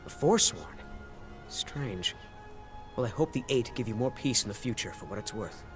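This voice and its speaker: A man's voice: mid-range voice, lively intonation, normal speed, lightly acted. A man playing a concerned townsman in a fantasy video game. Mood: concerned, grave. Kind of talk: video game character dialogue. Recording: music behind the voice.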